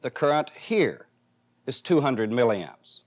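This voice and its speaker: American guy teaching step by step like an old 70s training film, mid pitch, kinda muffled lo-fi sound. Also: big pitch swings, takes it slowly. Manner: methodical, plainly explanatory. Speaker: instructor in a military technical training film. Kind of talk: instructional demonstration lecture, step by step. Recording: dull, band-limited 1970s film soundtrack.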